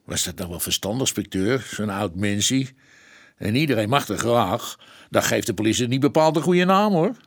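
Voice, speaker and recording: A man's voice: on the low side, lively intonation, moderate pace, slightly rough. An actor playing a deferential, familiar junior policeman in a Dutch radio drama, colloquial and a little hesitant. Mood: reproving, amused.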